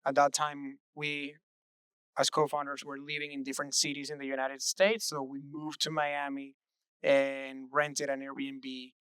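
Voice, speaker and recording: Earnest young Venezuelan-born founder, crisp voice. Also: male voice, medium pitch, flat delivery, unhurried, a bit bright, no room sound. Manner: earnest, reflective, frequent "uh" fillers. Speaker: startup co-founder, interview guest. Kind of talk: relaxed interview answers.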